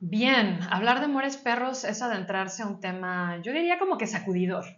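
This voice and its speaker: A woman from Mexico co-hosts a podcast at a middling pitch. She talks in a thoughtful, measured way and stacks phrase after phrase into lists. The recording is slightly muffled. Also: lively intonation, a bit bright. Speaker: podcast co-host. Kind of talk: reflective podcast conversation. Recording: slightly dull lo-fi sound.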